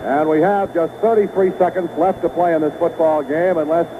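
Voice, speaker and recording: A man's voice: on the high side, steady tone, moderate pace. A man doing live radio play-by-play of a football game in short, clipped phrases. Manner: clipped phrases, calling action as it happens. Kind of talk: live sports commentary. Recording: noisy phone-like vintage radio broadcast.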